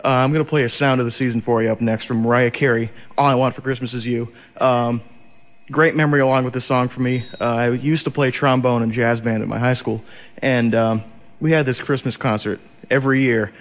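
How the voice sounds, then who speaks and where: A man's voice: mid-range voice, steady tone, brisk. A young man hosting as a college radio DJ, chatting casually between songs in a sleepy, hesitant, rambling way on an over-the-air recording. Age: young adult.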